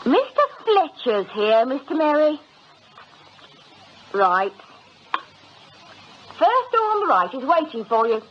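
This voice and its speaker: A brusque, harried receptionist. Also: female voice, high voice, big pitch swings, slow pace, long pauses, exaggerated voice. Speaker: agency receptionist. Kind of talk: radio drama acting. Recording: dull, hissy radio drama recording.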